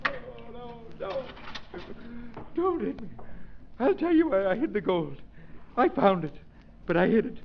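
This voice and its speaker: A very high, wildly swooping voice on a muffled, noisy old radio recording. a broken professor who babbles and pleads like one whose mind has snapped, in vintage radio drama style. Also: male voice, very animated, a little slow, creaky, long pauses, exaggerated voice. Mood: terrified pleading. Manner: pleading, manic, giggling babble. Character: tortured, broken professor babbling madly about hidden gold. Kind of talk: 1930s radio acting.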